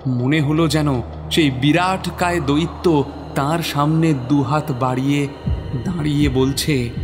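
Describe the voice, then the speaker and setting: A man's voice: a little high, fairly even intonation, measured pace, background noise. A man narrating a scripted story as a dramatic narrator.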